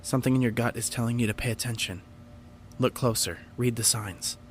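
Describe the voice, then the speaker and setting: Troubled, hesitant young man played by an actor, faint rumble behind. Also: steady tone, a little fast, lightly acted. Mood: uneasy, wary. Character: Aiden, uneasy, guilt-ridden young man. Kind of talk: audio drama acting.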